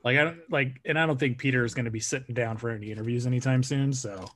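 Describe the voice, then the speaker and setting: A man's voice: mid-range voice, steady tone, fast, a bit bright. A man in his thirties from Southern California, hosting a casual fan podcast and rambling with lots of fillers and restarts. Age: 30s. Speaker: podcast host. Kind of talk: casual chat.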